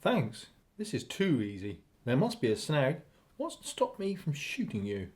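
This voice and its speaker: A plucky, polite everyman in a radio drama. His mid-range voice is mellow and lively in pitch, delivered in short, earnest, deadpan lines. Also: measured pace. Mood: defiant. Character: plucky, literal-minded, polite man facing down gangsters. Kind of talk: radio play acting.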